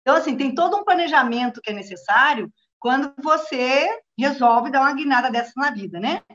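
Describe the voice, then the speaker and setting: A woman's voice: high voice, lively intonation, brisk, fairly bright tone. A middle-aged woman from southern Brazil with a Brazilian accent, giving a casual, conversational personal account as an interview guest. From Rio Grande do Sul, southern Brazil. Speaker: guest, journalist. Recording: dull, lo-fi online call.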